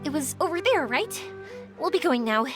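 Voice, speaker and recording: A woman voicing an energetic, earnest, helpful young woman in a video game. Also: high-pitched, moderate pace. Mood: earnest, urgent. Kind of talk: scripted game dialogue. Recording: music behind the voice.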